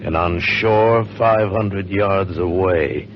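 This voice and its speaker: Grave, very deep radio teaser voice. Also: male voice, slow, slight background noise. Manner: grave and ominous. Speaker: radio announcer for the next episode teaser. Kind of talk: dramatic second-person preview narration. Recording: lo-fi old radio broadcast with music.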